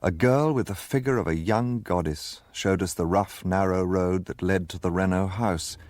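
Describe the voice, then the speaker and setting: Actor as british guy narrating a detective radio play, very low voice, close and dry. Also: moderate pace, a little mellow, dry recording, close to an everyday voice. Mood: measured recollection. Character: male narrator recalling a detective adventure, measured and literate. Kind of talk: scripted radio drama narration.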